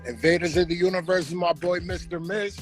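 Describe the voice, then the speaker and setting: A man who is a guest rap artist, giving short, relaxed replies in a casual interview over a video call. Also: high voice, fairly quick, faint music behind.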